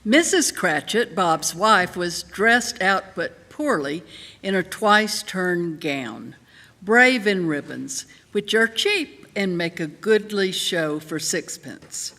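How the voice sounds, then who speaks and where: Expressive, unhurried woman narrator. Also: on the low side. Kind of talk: dramatic reading of a Victorian tale. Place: live in a church. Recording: reverberant hall.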